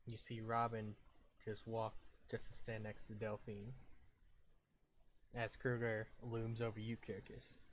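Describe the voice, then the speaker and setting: A man's voice: on the low side, completely flat delivery, unhurried, pauses often. A man narrating a tabletop game as the game master, on noisy home audio. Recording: clearly noisy home online-call audio.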